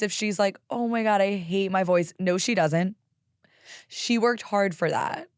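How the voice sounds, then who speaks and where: A young woman with a raspy, hoarse voice talks candidly and with some profanity as the host of a solo podcast. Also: on the low side, no room sound, studio quality. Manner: candid, profane, animated with laughs. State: hoarse from karaoke singing.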